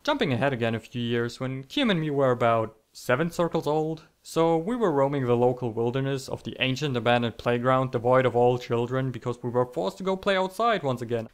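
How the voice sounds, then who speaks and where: Man, mid-pitched voice, comedic storytelling, theatrical, mock-dramatic, sarcastic. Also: clear, resonant tone. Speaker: storytelling video creator.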